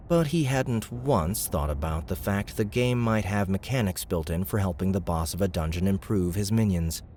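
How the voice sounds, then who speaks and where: A man narrating an audiobook in a steady, even storytelling voice. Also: low voice, a little hiss or hum.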